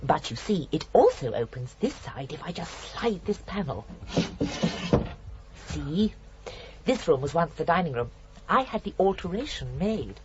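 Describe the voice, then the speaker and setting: An actress playing a genteel, chatty older British murderess in a radio drama, prim and sweetly matter-of-fact. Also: female voice, deep voice, sing-song, measured pace, long pauses, lo-fi sound, noisy background. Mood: brightly matter-of-fact.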